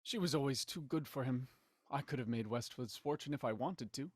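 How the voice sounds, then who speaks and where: Sardonic Victorian doctor played by man, mid-pitched. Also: brisk, darkish tone, slightly rough, dry recording, clean studio sound, natural voice. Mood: bitter. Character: bitter, teasing male Victorian doctor, secretly murderous. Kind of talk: audio drama murder mystery acting.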